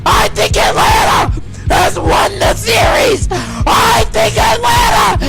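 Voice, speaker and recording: A man screams hockey play-by-play in a very high, cracking voice that creaks with strain. He repeats his exclamations ecstatically and swears freely, in the manner of an amateur caster, with loud music and a roaring crowd all around him. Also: big pitch swings, takes it slowly. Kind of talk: frantic amateur esports commentary, profanity-laden. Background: loud music and crowd noise.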